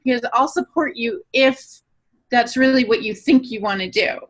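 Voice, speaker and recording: A woman's voice: fairly high pitch, moderate pace. A woman co-hosting a podcast, telling anecdotes casually and acting out quoted remarks as she goes. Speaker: podcast co-host and writer.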